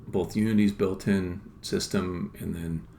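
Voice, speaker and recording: Deep, gravelly man thinking aloud. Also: steady tone, unhurried, warm tone. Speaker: game developer live-streamer. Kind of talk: casual live-coding commentary, thinking aloud. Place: indoor room at a computer. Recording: roomy echo, faint background noise, keyboard typing.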